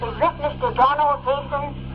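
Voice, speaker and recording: An actress playing a mysterious, menacing anonymous caller in a radio drama, speaking slowly and ominously. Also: female voice, high-pitched, steady tone, lightly acted. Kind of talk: 1950s radio drama acting. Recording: muffled, noisy old radio phone call.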